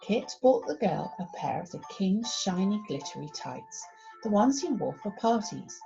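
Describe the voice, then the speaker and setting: A woman reading a children's storybook aloud as expressive narration. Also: medium pitch, moderate pace, gravelly, muffled recording, music in the background. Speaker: primary school story reader.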